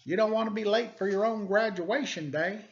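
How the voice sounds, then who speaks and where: Man doing a folksy old grandpa bear, raised pitch. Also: normal speed. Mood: hurrying. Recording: dull lo-fi sound.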